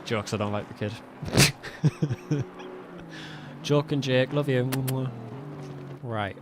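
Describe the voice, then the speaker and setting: A young man commentating a sim race alone, chatty and self-mocking, laughing often, his voice going a little hoarse. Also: medium pitch, drawn-out words, heavy background noise. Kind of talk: solo sim racing commentary. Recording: game car sounds behind.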